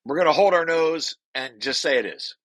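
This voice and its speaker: Bright-voiced man explaining technical material. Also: on the high side, animated, moderate pace. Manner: repeats and rephrases key phrases for emphasis. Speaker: presenter walking through a research paper draft. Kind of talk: informal technical explanation to colleagues.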